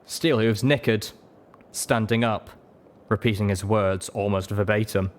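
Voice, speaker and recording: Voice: mid-range voice, fairly even intonation, a little slow. A narrator reading scripted first-person fiction as an audiobook.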